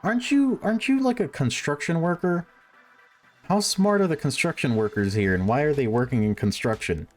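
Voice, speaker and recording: A man's voice: fairly high pitch, lively intonation, moderate pace, soft background music, pitched above the performer's own voice, lightly acted. A man voicing a cautious, inquisitive youngster in a visual novel, hesitant and questioning. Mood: doubtful.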